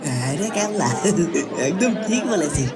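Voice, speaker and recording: A performer voicing a shy, stammering food-stall cook. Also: female voice, lowish voice, big pitch swings, normal speed, loud music behind the voice, a loud crowd.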